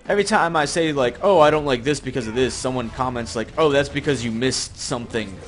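A man's voice: mid-range voice, brisk. A young man giving casual gameplay commentary, rambling and sarcastic with some swearing. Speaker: gaming video host. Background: game music and effects.

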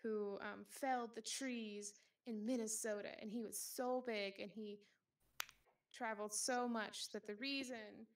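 A woman chatting casually on a podcast, often pausing to think aloud as she explains. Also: fairly high pitch, fairly even intonation, distant mic. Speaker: podcast co-host. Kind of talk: casual podcast discussion of folktales. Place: remote online group call.

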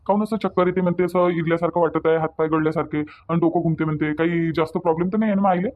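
A man's voice: fairly high pitch, fairly even intonation, racing, narrow, tinny audio, a little hiss or hum, natural voice. A man voicing an exasperated, worried grown son in a cartoon, folksy and fretful, with a Varhadi Marathi accent. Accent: Varhadi, Vidarbha Marathi. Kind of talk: cartoon dialogue.

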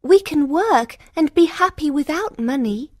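Woman playing a high, girlish fairy-tale daughter. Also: expressive, a little slow, no room sound, studio quality. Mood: gentle, sweet. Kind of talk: graded storybook audiobook character voice.

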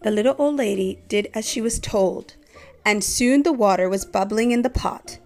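A woman reading a children's story aloud in an expressive, measured storytelling way. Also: mid-range voice, faint music behind. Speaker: storybook reader.

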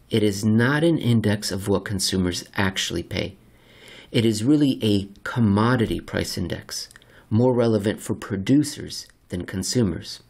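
A man with a slightly husky voice narrates a written article in a deliberate, measured way with dramatic pauses. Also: lowish voice, darkish tone. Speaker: narrator reading an article aloud. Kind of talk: scripted narration of an economics article.

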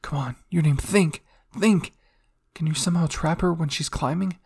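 A desperate, self-doubting fighter's inner voice acted by a man in an audio anime story. It is intense and strained, with a gravelly, husky grain. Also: a little high, normal speed, a little mellow. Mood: desperate, forceful. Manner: intense, swinging from whispers to strained cries.

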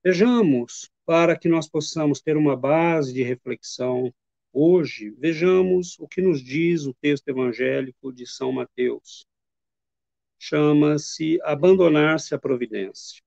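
A middle-aged man gives an earnest, reflective talk over a webcam call, his voice a little high for a man but mellow and warm. The sound is dry and slightly muffled, like lo-fi video call audio from a home study. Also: gravelly, no echo. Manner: measured, earnest, reflective delivery with pauses. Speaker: spiritist lecturer. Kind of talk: devotional lecture.

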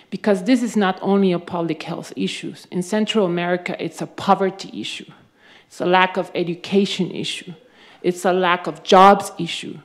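A woman from Costa Rica commenting from the audience at a panel event, earnest and halting. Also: on the low side. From Costa Rica, born in Nicaragua. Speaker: audience member asking a question.

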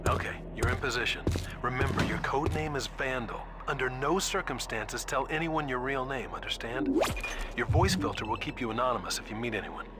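An actor playing a calm, controlling mission handler, giving measured, conspiratorial instructions to an agent in a game. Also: male voice, close to an everyday voice. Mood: composed, directive. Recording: loud game music and rumble behind.

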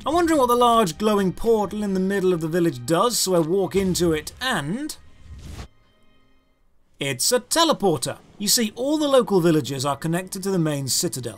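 A man's voice: sits high, big pitch swings, moderate pace, faint music behind. A British man in his thirties narrating a scripted, critical video game review in an emphatic, sardonic manner. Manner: emphatic, rhetorical questions, sardonic.

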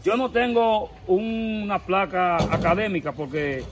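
A man's voice: high voice, a little slow, slight background noise. A man in his forties with a Dominican accent, pleading and emphatic in a street interview, recorded outdoors on a camera close by. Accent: Dominican Spanish. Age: 40s. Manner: pleading, emphatic, gestures while talking. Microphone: handheld camera close by.

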